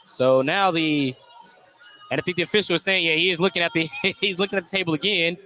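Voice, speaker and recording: A man doing live play-by-play radio commentary of a high school basketball game, descriptive and excited on the plays. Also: quick pace, faint music behind. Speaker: play-by-play sports announcer.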